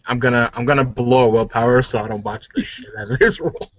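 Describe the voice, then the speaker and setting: A man's voice: mid-range voice, fast talker, no room sound, muffled recording. A man playing a tabletop roleplaying game, chatting and joking casually in slangy, sweary, exclaiming talk.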